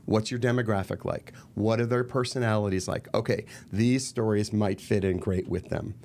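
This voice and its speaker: Middle-aged male guest, gravelly, lively studio podcast chat, close desk mic. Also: on the low side, moderate pace, a little hiss or hum. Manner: animated, gestures a lot while talking. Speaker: guest, murder mystery company founder. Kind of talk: casual podcast interview. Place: purple-lit podcast studio. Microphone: desk mic on boom arm.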